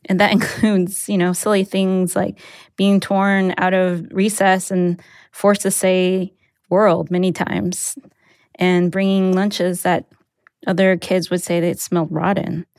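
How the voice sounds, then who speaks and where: A woman's voice: fairly low pitch, level tone, slow pace, a little mellow, no echo, studio quality. An American woman whose first language is Mandarin, telling a personal story in an informal, conversational way. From United States. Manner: informal, sprinkled with "like" and "you know".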